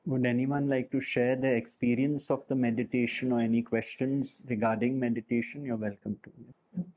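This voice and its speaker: A man teaching a meditation group, speaking in a slow, measured way with pauses between phrases. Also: mid-range voice, flat delivery, darkish tone. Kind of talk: reflective spiritual talk.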